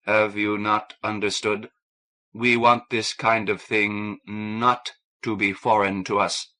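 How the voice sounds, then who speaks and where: A man voicing a big, courteous, melancholy alien in an audiobook, speaking slowly and formally. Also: lowish voice, little pitch movement, bright, forceful tone. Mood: formal, hesitant. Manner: slow, formal, deliberate.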